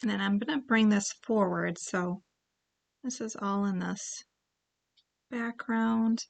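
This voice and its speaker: A woman leading a relaxed paint-along tutorial, chatting and thinking aloud as she paints, and now and then clearing her throat. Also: medium pitch, slow pace. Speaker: painting instructor.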